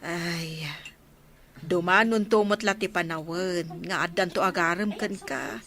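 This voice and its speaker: A woman's voice: lowish voice, a little slow, fairly bright tone. A woman playing a consoling, practical and outspoken village woman with an Ilocano accent in a radio drama.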